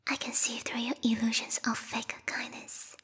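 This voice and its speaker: A woman voicing a lethal yandere vampire bat girl, a queen's knight with formal, theatrical speech, in a breathy whispered ASMR roleplay. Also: on the high side, monotone, normal speed, fairly bright tone. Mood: wounded, suspicious. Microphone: close binaural microphone. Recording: reverberant hall-like echo.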